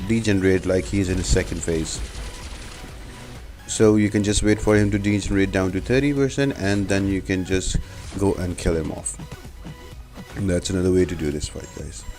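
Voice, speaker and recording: Low-voiced man explaining gameplay over music. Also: a little mellow. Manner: explanatory, step-by-step instruction. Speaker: game guide host. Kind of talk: gameplay tutorial voice-over commentary. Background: background music.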